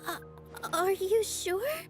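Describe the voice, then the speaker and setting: An actress playing a gentle, modest young woman in an anime video game, sweet and girlish with a polite, earnest manner. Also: extremely high pitch, expressive, takes it slowly, exaggerated voice. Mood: apologetic. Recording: music behind the voice.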